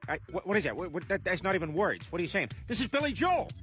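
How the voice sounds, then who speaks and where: Man as high-pitched, fast, excitable guy. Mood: excited, baffled. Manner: excitable, rambling, repetitive. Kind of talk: comic audio drama banter.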